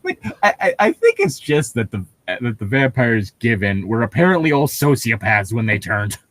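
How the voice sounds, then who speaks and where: Male comic co-host, lively mid-range delivery, opinionated, profane, conversational, very dry sound. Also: mid-range voice, normal speed, dry recording. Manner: sweary, opinionated, jokey. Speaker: co-host reacting to a fan fiction reading. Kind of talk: casual comic banter.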